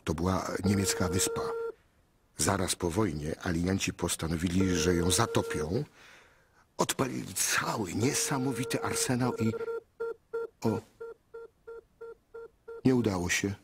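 A man's voice: sits low, very noisy. An actor playing a whimsical, lovestruck man in a radio play, narrating with playful, theatrical asides. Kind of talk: radio drama acting.

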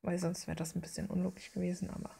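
A woman with a lowish, creaky voice chats informally about her own work. She rambles along in a self-deprecating, casual way. The recording has faint background noise. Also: level tone, fast, mellow. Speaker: artist and video creator. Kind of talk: casual chat over finished drawing.

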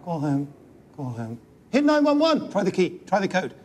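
A man reading a dramatic poem in clipped, intense fragments, his delivery often hushed. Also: sits high, wildly swooping pitch, normal speed. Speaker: reader. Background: music.

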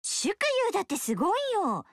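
A bubbly young ninja woman, sweet and eager, who looks after her people like a mother. Her voice is very high and bounces all over. The game studio recording is dry. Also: sing-song, moderate pace, dry recording. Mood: cheerful. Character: cheerful young female ninja, protective mother type.

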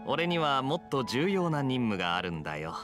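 An actor playing a suave, teasing young knight officer in a video game, casually confident. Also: male voice, medium pitch.

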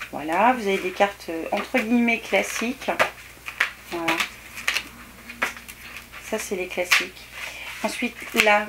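A woman's voice: medium pitch, noisy background. A woman in her thirties from France, chatting through a shopping haul at home, hesitant with many fillers and enthusiastic. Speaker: haul vlogger. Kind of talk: casual show-and-tell haul. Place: small indoor bedroom set.

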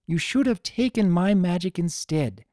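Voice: mid-range voice, expressive, normal speed, warm tone, dry recording, clean studio sound, voice pushed up, close to an everyday voice. A narrator voicing an earnest, self-doubting young female pony princess with a pleading manner. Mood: distressed, guilty.

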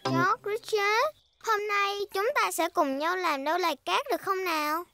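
A woman's voice: very high-pitched, normal speed. A woman voicing a cheerful, eager little girl pig in a children's cartoon dub. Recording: music behind the voice.

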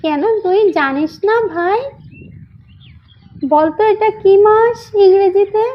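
A woman's voice: very high-pitched, takes it slowly, muffled recording. A woman voicing a little village girl, an eager, responsible elder sister in a children's doll story.

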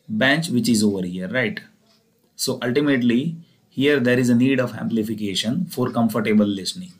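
Indian-accented man giving a technical lecture. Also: mid-range voice. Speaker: engineering lecturer. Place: voice-over recorded over slides.

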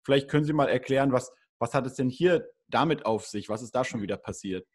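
A man's voice: medium pitch, fast talker, darkish tone, breathy edge. A young man hosting a casual video interview, asking long, rambling questions into a desk microphone in a small room. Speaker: YouTube interview host. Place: small room at home, indoors. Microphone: studio condenser mic on desk.

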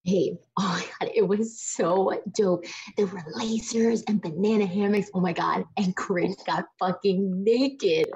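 An excited, slangy young woman. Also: medium pitch, a little slow, slightly rough, highs cut off. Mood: hushed excitement. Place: indoors at home on a video call.

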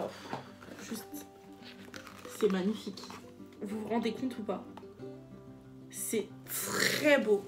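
A young woman with a stuffy, cold-congested nose, chatting casually and enthusiastically about books she read, often searching for words and repeating herself. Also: a little high. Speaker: book vlogger. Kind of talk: casual book review vlog.

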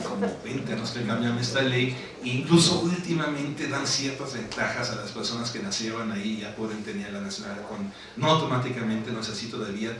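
German-accented man telling stories in an echoey hall. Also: medium pitch. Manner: animated storytelling, laughing, frequent tag questions. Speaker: author at a book presentation. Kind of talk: anecdotal panel talk. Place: large lecture hall. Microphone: table microphone on stand. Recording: echoey hall from far off with noise.

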